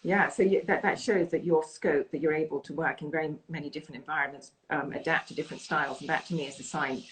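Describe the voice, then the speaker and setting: Low-voiced woman hosting from London. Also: fairly even intonation, fast talker, rough recording. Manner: warm, effusive praise, chatty. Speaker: interview host. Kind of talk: video call interview. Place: home in London. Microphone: video call.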